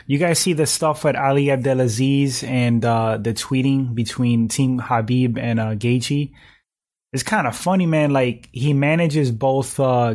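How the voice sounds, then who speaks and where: A man in his thirties talks loosely through a livestream, tossing out slang and clearing his throat often. His voice is slightly hoarse and sits at an ordinary, middling pitch. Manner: relaxed slangy talk, frequent throat clearing. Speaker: livestream host reading chat. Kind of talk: casual chat.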